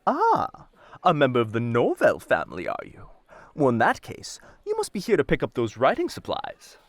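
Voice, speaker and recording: A man's voice: medium pitch, huge pitch swings, moderate pace, no room sound, pitched above the performer's own voice. A man voicing a chirpy, fawning merchant, a disguise in a scripted roleplay, with an ingratiating sing-song manner. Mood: cheery, fawning. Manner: bright, sing-song, ingratiating, calls listener darling. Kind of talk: ASMR roleplay, scripted character acting.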